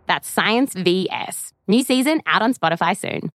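A woman's voice: medium pitch, expressive, normal speed, a bit bright. A woman hosting a podcast, reading a cheeky scripted promo. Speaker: podcast host.

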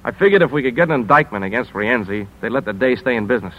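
An actor playing a hard-driving, wry American newspaper editor in brisk, clipped 1950s radio drama style. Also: male voice, medium pitch, animated, dull audio, natural voice. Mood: wry, weary. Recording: vintage 1950s radio broadcast.

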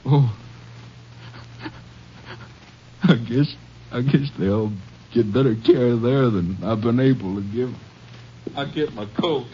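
A man's voice: mid-range voice, unhurried, warm tone, husky. An actor playing a desperate, impoverished father who stammers and pleads his innocence in an old-time radio drama. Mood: resigned. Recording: muffled vintage radio recording.

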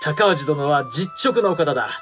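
A man's voice: a little high, expressive, normal speed, fairly bright tone, faint music behind. A man playing a dignified, frank samurai clan head and protective elder brother in a Japanese period audio drama, with stately archaic speech. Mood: courteous, dignified.

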